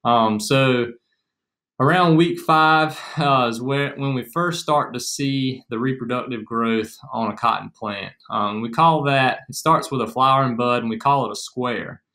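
Young American male, casual agricultural educator, bright forward voice, mid pitch. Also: a little slow. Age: young adult. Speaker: agriculture extension agent, co-presenter. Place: small room at home, indoors.

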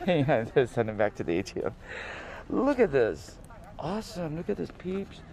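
A man's voice: on the high side, wildly swooping pitch, a little slow, gravelly, a little hiss or hum. A man, talking casually to viewers as he walks through an outdoor flea market and filming on a phone. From Guam. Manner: chatty, self-amused, addresses viewers as peeps. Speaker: vlogger. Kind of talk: casual walk-and-talk vlog. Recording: outdoor market with loud music. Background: music playing.

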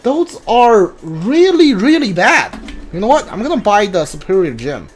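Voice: medium pitch, very animated, normal speed. A video game streamer giving casual playthrough commentary, thinking aloud and muttering reactions while playing. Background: game music.

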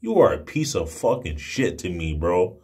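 A young man in his twenties with a fairly low voice delivers a casual monologue to camera. His talk is brash and slangy, full of emphatic swearing. He sounds like a video blogger recording on a phone indoors. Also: very animated, normal speed, mellow, husky. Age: 20s. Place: small room indoors. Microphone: phone at arm's length.

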